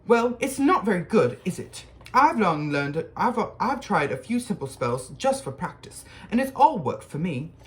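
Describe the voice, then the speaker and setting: A reader voicing a bossy, fast-talking schoolgirl know-it-all in a storybook reading, pitching her high and rushing through her words. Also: huge pitch swings. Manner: rapid, eager, pitched high.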